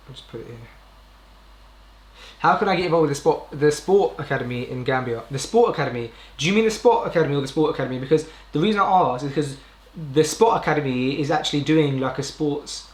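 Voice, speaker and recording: British man live streaming in an echoey room. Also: medium pitch. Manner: informal, rambling, self-correcting. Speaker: podcast host live streaming. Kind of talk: casual live stream chat. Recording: roomy indoor echo.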